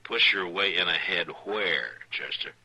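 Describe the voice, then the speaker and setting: Low-voiced, laconic American marshal. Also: male voice, lively intonation, measured pace, bright, forceful tone, husky, heavily acted. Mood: dryly patient. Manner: laconic, understated. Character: busy, patient, dryly skeptical male frontier marshal. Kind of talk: old-time radio western acting. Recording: noisy old radio recording.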